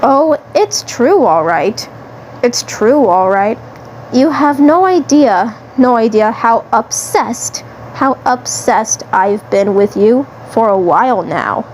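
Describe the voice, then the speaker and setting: A woman acts out a possessive alien commander from a cartoon fan drama, smitten and menacing. The pitch sits high and the delivery is slow and gloating, with phrases repeated. A faint noise runs under the recording. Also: animated, darkish tone, rough recording. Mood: obsessive.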